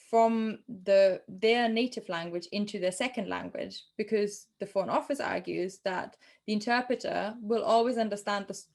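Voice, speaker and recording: A woman's voice: mid-range voice, darkish tone. A young adult woman from Germany, a native German speaker with a German accent, answering interview questions about translation in a chatty way with many ums and restarts. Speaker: translator guest.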